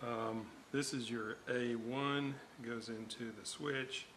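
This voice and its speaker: Casting: unhurried man, lowish voice, bench-repair walkthrough, audible shop hum behind. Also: fairly even intonation, a bit bright. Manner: measured, thinks aloud, corrects himself. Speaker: radio restorer. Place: workbench.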